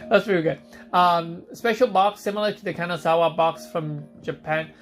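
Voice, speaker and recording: A man's voice: fairly high pitch, fairly even intonation, unhurried, faint music behind. A man from Hong Kong hosting a casual live stream, reading out viewer questions and answering them on the spot.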